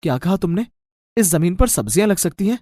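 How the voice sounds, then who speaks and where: A man playing a wary, blunt village farmer who questions things, in an animated moral story. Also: high voice, brisk, no room sound, studio quality. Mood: sceptical.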